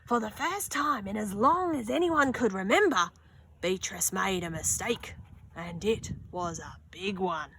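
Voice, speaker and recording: A young woman reading a children's picture book aloud outdoors, slow and expressive, with gasps and little asides. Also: mid-range voice, slight background noise. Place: outdoors on a sunny wooden deck.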